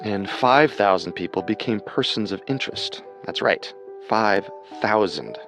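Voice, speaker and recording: A man's voice: on the low side, expressive, a little slow, music in the background. A man co-hosting a true crime show, reading scripted narration in a dramatic storytelling manner.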